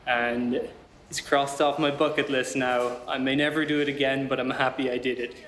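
A man's voice: mid-range voice, little pitch movement, moderate pace, fairly bright tone. A man giving short casual remarks in an interview. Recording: echoey room, faint background noise.

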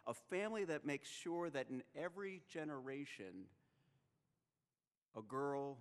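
A man's voice: medium pitch, a bit bright. Man preaching in a reverberant chapel. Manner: measured, rhetorical preaching with dramatic pauses. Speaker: seminary president preaching in chapel. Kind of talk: sermon. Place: chapel with stone wall, at a wooden lectern. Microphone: lectern microphone. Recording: long reverb of a chapel hall.

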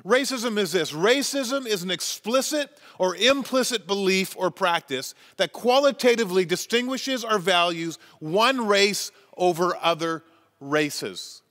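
A Canadian pastor in his forties preaches with a high, bright voice that has a forceful edge. He is earnest and emphatic, pressing each point home. His words ring out with a long reverb from the church hall. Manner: earnest, emphatic, gestures constantly while preaching. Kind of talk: sermon. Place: church stage with wooden wall, large hall.

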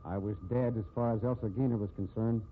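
A man's voice: lowish voice, fairly even intonation, fast talker, natural voice. An actor playing a bitter, self-pitying ruined man reduced to panhandling, in 1950s radio drama style. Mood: bleak, resigned. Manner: sardonic, self-pitying, weary. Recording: tinny, noisy 1950s radio recording.

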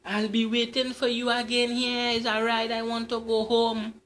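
A man's voice: very high voice, monotone, moderate pace, fairly bright tone, slight background noise, voice pushed up. A man voicing a seductive female folklore demon with a cow hoof, in a sly, teasing manner. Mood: taunting.

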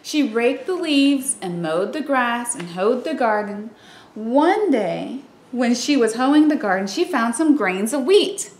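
Slow-paced young woman reading a storybook aloud. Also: fairly high pitch, animated, roomy sound. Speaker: teacher reading aloud to children. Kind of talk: storybook read-aloud with casual asides. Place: small room at home, by a window.

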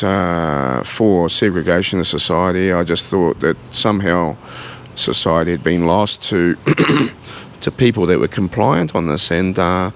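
A gravelly, fairly low-voiced man in his forties with a New Zealand accent, speaking in an earnest, halting way full of fillers and restarts. He is being interviewed in a large indoor gym and holds a handheld mic. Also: a little hiss or hum. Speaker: gym owner. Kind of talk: casual interview.

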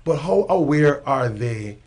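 A man who is a pastor, announcing church events and preaching a little on a radio show, speaking softly with some hesitations. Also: medium pitch, animated, unhurried.

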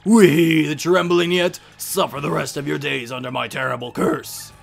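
A man's voice: fairly high pitch, fairly even intonation, gravelly, faint music behind. A man voicing a gleefully wicked demon from a video game, gloating theatrically as a curse-casting villain in a fan dub. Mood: gloating menace. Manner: theatrical, gloating, drawn-out cackles and shouts. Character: gleefully wicked cursing demon trapped in a box.

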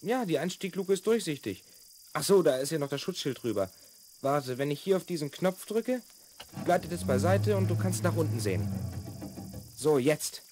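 A man's voice: on the high side, expressive, normal speed, noisy background, lightly acted. An actor playing a confident twelve-year-old boy from the future in a children's audio drama, explaining and instructing with assurance. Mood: explanatory, assured. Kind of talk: 1970s German children's audio drama acting.

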